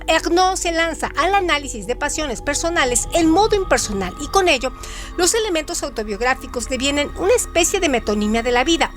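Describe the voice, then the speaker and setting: A woman's voice: high voice, fairly bright tone. A woman presenting scripted educational literary narration in a didactic way, framing facts as rhetorical questions.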